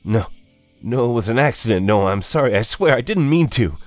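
A man's voice: mid-range voice, lively intonation, brisk, no room sound, soft background music, pitched above the performer's own voice, close to an everyday voice. A man voicing a timid, guilt-ridden younger brother who stammers and pleads in a horror story. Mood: desperate, pleading. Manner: stammering, apologetic.

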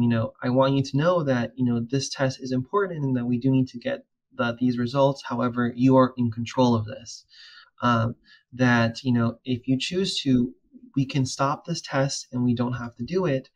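Young man, flat voice, video call. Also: medium pitch, highs cut off. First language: Spanish. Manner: relaxed, many fillers and restarts. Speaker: audiologist and PhD student. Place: room at home.

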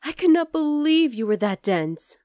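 A woman's voice: high voice, sing-song, moderate pace, mellow, dry recording, studio quality. A woman playing a young wolf-girl girlfriend in an intimate ASMR roleplay, affectionate, teasing and coaxing. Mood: fond teasing. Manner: cooing, playful, coaxing.

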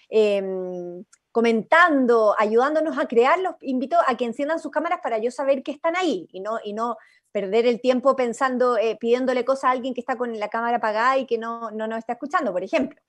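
A woman's voice: fairly high pitch, a little fast. A young woman with a Chilean accent guiding an informal workshop over a video call in an encouraging, inviting way. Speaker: workshop facilitator. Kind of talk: informal guided workshop talk. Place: indoor room, on a video call. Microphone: video-call computer microphone.

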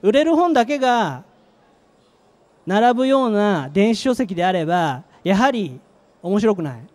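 A man's voice: high-pitched, sing-song, a little slow, a little mellow. A middle-aged man giving a live product presentation in short, emphatic phrases into a handheld microphone. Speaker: company manager presenting a product. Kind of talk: live trade-show presentation with slides. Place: presentation stage at an exhibition venue.

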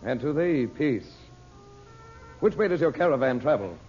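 An actor playing a calm, capable uncle leading a desert expedition in an old radio drama. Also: male voice, mid-range voice, moderate pace, faint music behind, lightly acted. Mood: purposeful. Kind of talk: 1940s radio drama acting. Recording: dull old radio recording.

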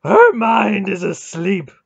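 A man voicing a vast, grieving and vengeful god with loud, archaic, menacing speech in a storybook reading. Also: medium pitch, takes it slowly, vocal fry, dry recording. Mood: raging. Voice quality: loud, roaring, howling.

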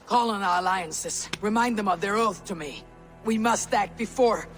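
Actress as grim Viking fighter for game cutscene, middle register, curt and commanding, music bed. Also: female voice, medium pitch, normal speed, fairly bright tone, close to an everyday voice. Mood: distressed, grim. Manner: terse, commanding, grave. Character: grim, determined Viking warrior, loyal to lost brother. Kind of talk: dramatic video game cutscene acting.

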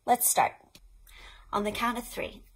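A woman's voice: on the high side, measured pace, breathy edge, rough recording. An American woman in her thirties hosting a children's storytime, talking in an encouraging, instructional way to young children and parents. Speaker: children's librarian, storytime host. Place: small play tent indoors.

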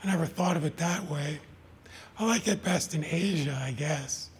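A man voicing Death as a lonely, gentle male figure in a storybook read aloud, soft-spoken and wondering. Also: fairly high pitch, moderate pace, a little hiss or hum, close to an everyday voice.

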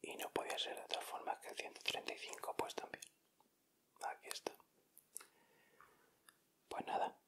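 A man's voice: normal speed, pauses often, fairly bright tone. A young man with a Castilian Spanish accent whispering in ASMR style as a host, addressing his viewers directly and without hurry.

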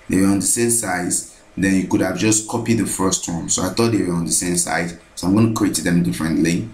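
A man narrating a screen-recorded software tutorial, thinking aloud as he repeats each step. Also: low-pitched, reverberant.

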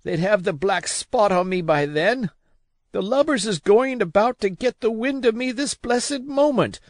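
A man voicing a weak, feverish old sea captain who curses and pleads in rough sailor talk. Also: high voice, animated, measured pace, no room sound, clean studio sound, pitched above the performer's own voice. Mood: agitated. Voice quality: feeble, wheedling.

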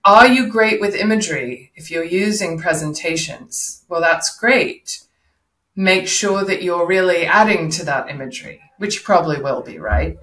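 Woman, middle-aged, lowish voice, instructive coaching style, rhetorical questions, roomy video-call sound. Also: expressive, moderate pace, fairly bright tone. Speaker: voice and public speaking coach, interview guest. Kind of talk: explanatory coaching advice in an interview. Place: indoor room, video call. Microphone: video call, no headset seen. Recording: echoey room over video call.

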